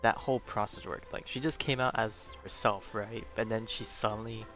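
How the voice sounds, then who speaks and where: A man's voice: fairly low pitch, steady tone, normal speed, a bit bright. A man giving casual live gameplay commentary, musing aloud in a rambling way. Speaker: game streamer. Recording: dull lo-fi mic, game music behind. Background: video game music.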